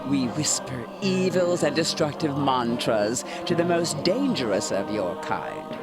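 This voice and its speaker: Casting: ancient cosmic horror villain for a game, stern and condescending, formal theatrical delivery, unhurried pace, music underneath. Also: male voice, medium pitch, big pitch swings. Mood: grave lecturing. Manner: menacing, formal, deliberate, condescending. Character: ominous eldritch god, stern condescending elder uncle. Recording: music behind the voice.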